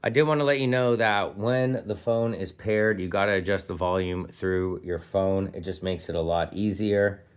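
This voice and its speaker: A man's voice: deep voice, steady tone, normal speed, hardly any pauses, smooth. A man giving a casual hands-on gadget review as host, speaking enthusiastically in informal slang with punchy interjections.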